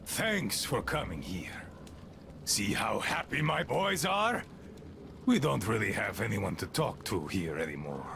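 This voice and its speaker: Unhinged gang leader, video game, very gravelly, drawn-out delivery, scornful, theatrical, noisy background. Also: male voice, fairly low pitch, big pitch swings, full character voice. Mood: bitter, wistful. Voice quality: gravelly, creaky. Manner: rambling, scornful, theatrical swings from muttering to shouting. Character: unhinged, bitter, abandoned crippled gang leader talking to his boys. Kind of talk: video game character acting.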